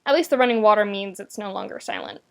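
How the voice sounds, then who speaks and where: A woman reading a story aloud as narrator, with expressive, wry delivery and dramatic emphasis. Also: mid-range voice, quick pace, gravelly. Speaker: podfic narrator. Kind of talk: expressive scripted fiction narration.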